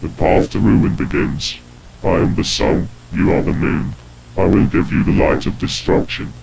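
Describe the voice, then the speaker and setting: A deep, extremely gravelly monstrous tyrant, proclaims his merciless power in slow, pompous phrases. It is an analog horror villain, heard through clearly hissy, distorted footage audio. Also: fairly even intonation. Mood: menacing, grandiose. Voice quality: guttural, gravelly.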